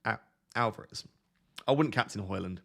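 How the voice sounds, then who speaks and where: A young man hosting a fantasy football live stream, reading viewer questions aloud and answering them in a relaxed, soothing voice. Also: fairly low pitch, normal speed, creaky. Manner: self-described relaxing, soothing voice. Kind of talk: casual live stream chat.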